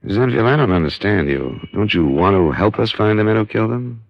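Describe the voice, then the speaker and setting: A hard-boiled American investigator from vintage radio drama. His voice sits very deep, and he talks in measured, probing lines. The recording is dull and close, with little room around it. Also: animated, no echo. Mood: probing, firm. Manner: terse, measured questioning and dry narration. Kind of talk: 1950s radio detective drama acting.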